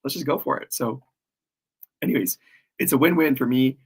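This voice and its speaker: A man with a cold and a worn, hoarse voice, rambling casually through a live stream as he launches his course. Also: mid-range voice, moderate pace. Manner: rambling, self-deprecating, frequent fillers.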